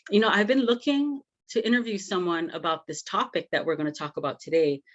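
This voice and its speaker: A woman's voice: lowish voice, a little fast, highs cut off. A woman hosting a podcast interview, talking casually and effusively with many "you know" fillers. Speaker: podcast host.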